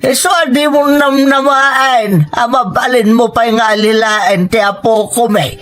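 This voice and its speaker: A woman's voice: on the high side, unhurried, soft background music, lightly acted. A woman playing a hurt, scolding old grandfather in a dramatized family story. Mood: reproachful. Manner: scolding, emphatic. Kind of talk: dramatized Ilocano family radio-style story.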